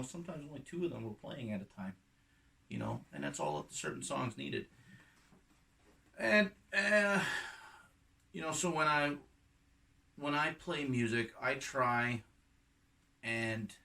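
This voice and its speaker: A middle-aged man with a slightly croaky voice, a musician talking casually and reflectively between songs in an unhurried, halting way that trails off softly. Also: medium pitch, distant mic. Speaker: acoustic folk and bluegrass musician.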